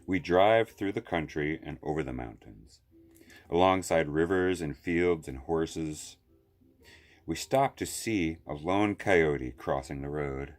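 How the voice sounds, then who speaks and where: A man reading a children's picture book aloud as a library storyteller, with deliberate phrasing and long pauses. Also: very deep voice.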